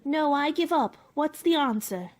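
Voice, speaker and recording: A woman's voice: high-pitched, animated, a little slow, a little hiss or hum. A woman playing a curious young girl in a radio play, prim, questioning and easily indignant.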